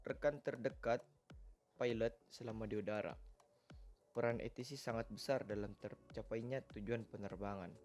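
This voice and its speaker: A man's voice: medium pitch, a little mellow. A man reading a scripted educational narration in a formal manner, as the narrator of an explainer video. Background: music.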